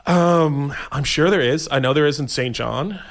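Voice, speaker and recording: A Canadian man chatting casually as a radio co-host, in a halting, informal way. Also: fairly high pitch, a bit bright. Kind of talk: casual chat.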